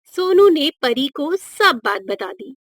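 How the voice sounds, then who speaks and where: A woman's voice: high voice, sing-song, slow pace, a bit bright, dry recording, studio quality. A woman narrating a scripted moral story for children.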